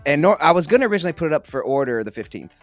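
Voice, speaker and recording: A man's voice: a little high, sing-song, very fast, no room sound, soft background music. A man co-hosting a podcast, chatting loosely with a friend, swearing and joking. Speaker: podcast co-host, author. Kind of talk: casual banter. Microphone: studio mic.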